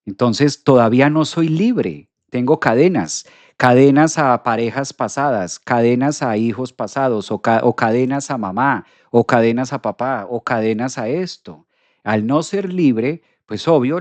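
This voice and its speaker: A man talks straight to his viewers on a live astrology stream. His pitch sits in an ordinary middle range, and he repeats phrases for emphasis. The sound is dry and close, with no room echo. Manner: animated, repeats phrases, addresses audience directly. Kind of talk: live stream talk reading viewer comments.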